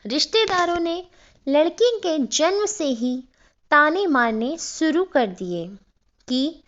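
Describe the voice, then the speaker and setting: High-pitched woman narrating, slow and lilting. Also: lively intonation, fairly bright tone. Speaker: storyteller, channel narrator. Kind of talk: scripted narration of an emotional moral story. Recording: dull, lo-fi sound.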